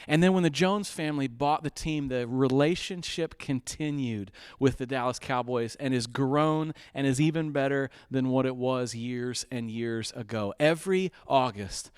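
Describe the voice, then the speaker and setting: Guy hosting a charity telethon, smooth clear voice, earnest and upbeat, lots of uhs, dry close sound. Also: medium pitch, no echo. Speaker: fundraiser host. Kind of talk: telethon appeal.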